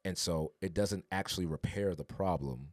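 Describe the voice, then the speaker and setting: Very deep-voiced earnest male podcast host. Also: normal speed, dry recording, clean studio sound. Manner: earnest and reflective, rising to emphatic urging. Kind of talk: casual podcast conversation.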